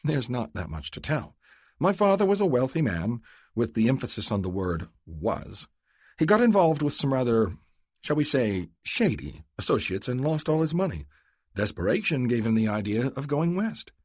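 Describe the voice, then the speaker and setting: A man's voice: medium pitch, sing-song, normal speed, no echo, clean studio sound, voice pushed up. A man putting on a poised, charming young lady. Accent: Philadelphia upper-class. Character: cool, charming young upper-class woman.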